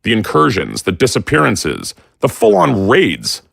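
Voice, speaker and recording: An actor playing a hawkish army general who briefs in a formal, measured, ominous way. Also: male voice, fairly low pitch, huge pitch swings, gravelly, a bit bright, slightly rough, no echo, clean studio sound, exaggerated voice. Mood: grave. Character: grave hawkish army general, middle-aged man.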